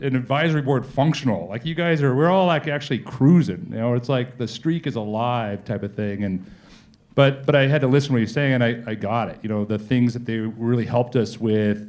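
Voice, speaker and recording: A man being interviewed as a company executive, telling stories conversationally and acting out exchanges in informal, animated speech. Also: mid-range voice, fairly quick. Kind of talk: conversational on-stage storytelling.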